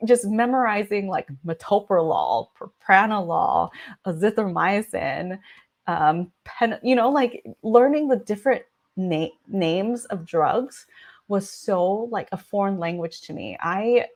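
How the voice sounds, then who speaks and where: A woman's voice: mid-range voice, expressive, unhurried, vocal fry. A young woman physician from the United States whose first language is Vietnamese, giving chatty, casual advice with lots of filler words. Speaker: physician, channel host. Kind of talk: casual advice talk to camera.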